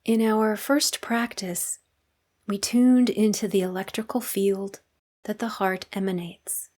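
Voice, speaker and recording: A woman leading a guided meditation as a teacher, speaking in a calm, unhurried, soothing way with long pauses. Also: mid-range voice.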